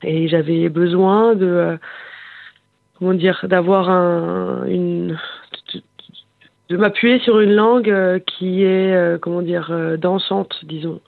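This is a singer heard over a tinny, phone-like line with a dry sound and no room echo. The voice sits at a middle pitch. The singer talks haltingly, pausing often to search for words. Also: steady tone, vocal fry. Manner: hesitant, searching for words, many fillers. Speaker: singer and bandleader. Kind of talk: phone interview.

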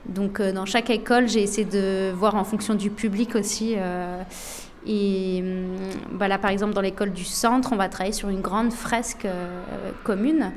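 Hesitant young woman, relaxed chat. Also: medium pitch, normal speed, slight background noise. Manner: hesitant, many fillers and restarts. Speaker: illustrator and author of books. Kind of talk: radio interview, spontaneous answers.

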